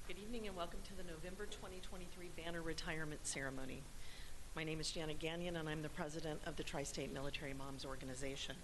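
A woman's voice: low-pitched, background noise. A woman, president of a volunteer group, reading formal prepared remarks at a civic ceremony. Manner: formal and measured. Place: city council chamber.

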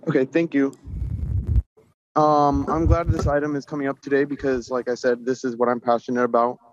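A man's voice: medium pitch, level tone, fairly quick, noisy background. A man giving informal public comment over a remote call from outdoors, speaking in an earnest, insistent way. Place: outdoors near a highway. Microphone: remote call into meeting. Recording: dull, roomy remote-call audio.

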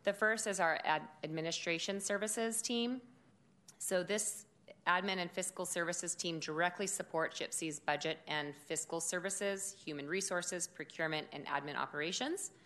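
A woman who directs a city department, giving a formal budget presentation at a public meeting in a loud, steady, measured voice. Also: lowish voice. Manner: measured, informative delivery with occasional fillers.

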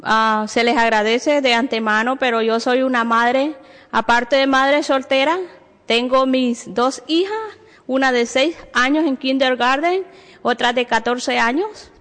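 A woman's voice: sits high, moderate pace, fairly bright tone. A woman from Honduras with a Honduran Spanish accent, making a personal plea at a public hearing. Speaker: single mother giving public testimony. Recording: echoey hall, long reverb.

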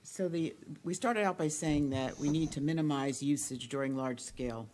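A woman commissioner questioning an official at a public meeting, feeling her way through halting, tentative phrases. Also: deep voice, darkish tone, slight background noise. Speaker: county commissioner.

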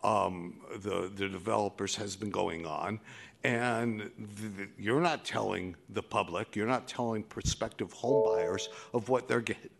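A man giving a public comment at a board meeting, pressing pointed questions with many pauses and fillers. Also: fairly low pitch, creaky.

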